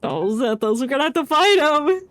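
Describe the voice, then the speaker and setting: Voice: high voice, lively intonation, moderate pace, a bit bright, dry recording. A streamer giving casual live game commentary in a playful, blunt, reactive way.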